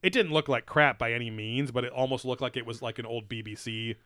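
A man with a bright, forceful and smooth voice talks through a show in loose, profane podcast chat. He rambles with plenty of fillers and restarts. The sound is dry and close. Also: medium pitch, quick pace, no room sound. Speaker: podcast co-host. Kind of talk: casual chat reviewing a TV show.

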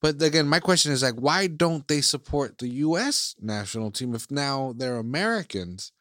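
A man's voice: mid-range voice, expressive, normal speed, fairly bright tone, no echo, studio quality. A man from Iceland, co-hosting a casual chat, who rambles and keeps circling back to his points.

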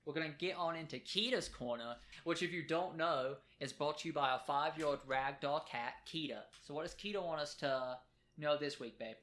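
A man's voice: a little high, normal speed, a bit bright. A man co-hosting a casual podcast from a kitchen table at home, rambling and joking as he talks. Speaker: podcast co-host. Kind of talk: casual chat. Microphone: home podcast mic.

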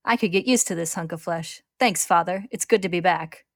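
A woman throws herself into a vicious, greedy spirit from a dramatic story. He swaggers through his lines, loud and cocky, stretching out words with relish. The recording is close and dry. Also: lowish voice, a little fast, no room sound. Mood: smug, wicked.

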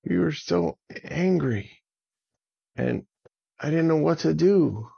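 A man voicing a caring, reassuring friend in a children's story, speaking earnestly and repeating himself gently. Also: on the low side, a little slow, creaky, dull audio. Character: calm, caring male friend soothing a dragon.

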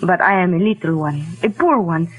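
A woman's voice: on the low side, expressive, normal speed, slightly rough, rough recording. An actress playing a humble, friendly woman painter in a 1940s radio drama. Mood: humble, obliging. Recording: old 1940s radio recording.

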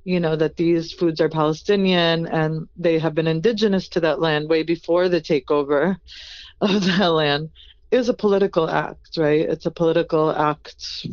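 A chef answering interview questions in an earnest, thoughtful way, often pausing on "you know". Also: medium pitch, steady tone, highs cut off.

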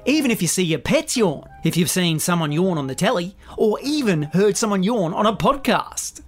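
A woman playing a silly, cheeky, excitable children's show host, chatty and theatrical in a scripted podcast. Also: fairly low pitch, moderate pace, breathy edge, soft background music. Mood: playful, explaining. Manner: exclamatory, theatrical, chatty to young listeners.

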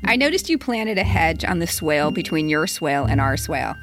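A woman's voice: sits low, very animated, brisk, music in the background. A woman in her fifties reading a personal satirical letter aloud in a wry, mock-polite way, as a podcast co-host. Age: 50s.

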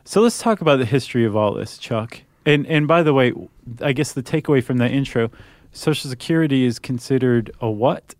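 Male American podcast co-host, higher-set voice, wry casual explainer, dry close recording. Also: animated, brisk, darkish tone, no room sound. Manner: wry, joking asides.

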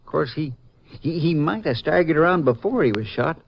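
An actor playing a plainspoken, cooperative small-town Texas sheriff with a hesitant, folksy manner, in 1950s radio style. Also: male voice, on the high side, lively intonation, a little fast, vocal fry. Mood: earnest, puzzled. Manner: hesitant, plainspoken, drawling rural phrasing. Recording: muffled, noisy 1950s radio recording.

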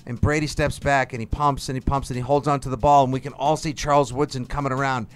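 A man recalling watching a game in an interview, animated and reenacting his own reactions. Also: medium pitch, fast talker, clear, resonant tone, soft background music. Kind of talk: documentary interview.

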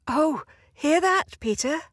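A kindly wife and mother from a storybook, played by a woman, speaks with soft affection. Her breathy voice is high and lilts widely at an unhurried pace. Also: animated, no room sound, studio quality. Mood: fond. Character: kindly, fond working-class wife and mother. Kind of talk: storybook character reading.

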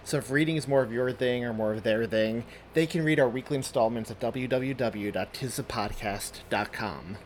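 A man's voice: medium pitch, normal speed, few pauses, a little hiss or hum. A man speaking as a podcast host in a scripted, effusive and thankful address to listeners. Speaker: podcast host and story author.

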